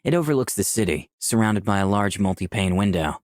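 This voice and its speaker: A man's voice: lowish voice, animated, fairly quick, a little mellow, no room sound, studio quality, natural voice. A man voicing a candid, easygoing young protagonist who narrates a visual novel in the first person. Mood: reflective. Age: young adult. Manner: clear scripted storytelling.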